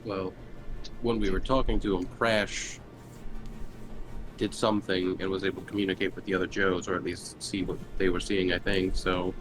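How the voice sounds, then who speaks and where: A low-voiced man chats casually as a player in a tabletop roleplaying game. Music is clearly audible behind his voice. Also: level tone, moderate pace, poor audio.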